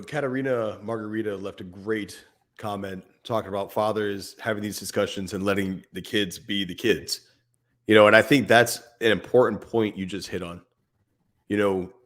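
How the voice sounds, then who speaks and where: A man co-hosting a fatherhood podcast, talking casually and animatedly, acting out little scenes with his kids and laughing often. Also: lowish voice. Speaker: podcast co-host. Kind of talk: casual parenting advice chat.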